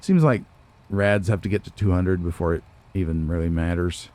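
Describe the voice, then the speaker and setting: A man's voice: low-pitched, moderate pace, a little mellow. A man giving casual let's play commentary, musing and thinking aloud as he goes. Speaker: let's player.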